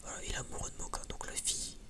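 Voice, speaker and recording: An ASMR creator whispering in a breathy, unvoiced voice, rambling informally and hesitantly as if chatting to viewers. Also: rapid-fire, bright, forceful tone.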